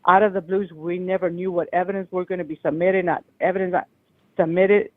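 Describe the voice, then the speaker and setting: A woman calls in over a tinny, thin phone connection, her loud voice pushing through a long, rambling, agitated story. The sound is dry and close, with no room around it. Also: lowish voice, flat delivery, quick pace, no room sound. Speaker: caller. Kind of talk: call-in show phone call.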